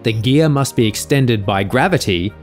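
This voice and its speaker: A man narrates scripted technical explanation in a measured, formal and factual way. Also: medium pitch, animated. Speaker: video narrator. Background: faint music.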